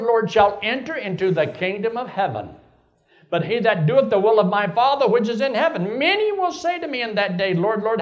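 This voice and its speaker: High-voiced older preacher in his seventies. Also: dull audio. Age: 70s. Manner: preaching with rising emphasis, rhetorical questions. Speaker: pastor. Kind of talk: sermon.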